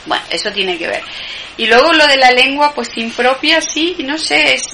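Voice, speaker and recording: A woman's voice: high-pitched, lively intonation, moderate pace, bright, forceful tone. A woman with an Argentine accent, a poet talking thoughtfully about her work as an interview guest, often searching for words. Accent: Argentine Spanish. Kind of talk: reflective conversation. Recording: echoey room, background noise.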